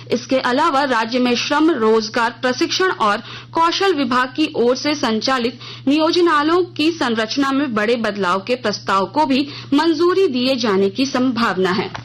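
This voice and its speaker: An Indian woman reading a formal, scripted radio news bulletin as the news reader. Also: a little high.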